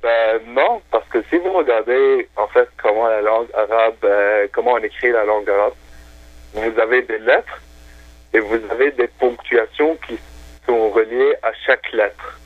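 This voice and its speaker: A man's voice: mid-range voice, measured pace, no room sound. A man whose first language is Arabic, calling in to a radio show and chatting casually in French with many hesitations. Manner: enthusiastic, hesitant with many fillers. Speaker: phone-in guest. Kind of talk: casual radio phone chat. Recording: tinny phone line, dry.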